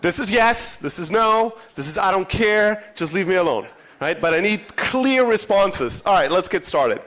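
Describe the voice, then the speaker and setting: A man's voice: high-pitched, lively intonation, normal speed, a bit bright. A man in his thirties from Germany gives an informal motivational conference talk, swearing freely, poking fun at himself and checking in with his listeners. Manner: profane, self-deprecating, checks in with the audience. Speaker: conference keynote speaker.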